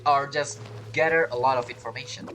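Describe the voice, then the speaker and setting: A man's voice: mid-range voice, steady tone, brisk, sharp tone. A man giving casual let's-play commentary, reading game text aloud and repeating himself as he goes. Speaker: gaming streamer. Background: game music.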